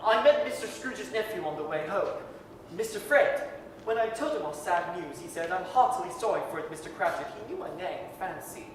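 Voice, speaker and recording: An actor playing a kindly, grieving father, earnest, tender and halting, in a staged 1930s radio drama. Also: high-pitched, expressive. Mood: grateful wonder. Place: performing arts center stage. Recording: echoey hall from far off, noisy.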